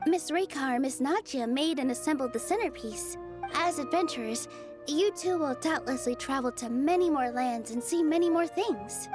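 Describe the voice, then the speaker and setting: A young dancer in a video game with a high, gentle voice. She is earnest and encouraging, and music plays softly or steadily behind her. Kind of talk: scripted video game dialogue. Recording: background music under the dialogue.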